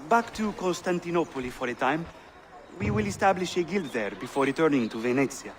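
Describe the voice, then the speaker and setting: An actor playing an eager, courteous Venetian merchant traveler in a video game. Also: male voice, a little high, a little fast, background noise, natural voice. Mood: lighthearted. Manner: courteous, animated. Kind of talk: dramatic video game cutscene acting.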